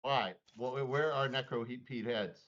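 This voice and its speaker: A man explaining a board game to players in an excitable, playful way. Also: medium pitch, unhurried. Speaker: game teacher. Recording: dull lo-fi audio.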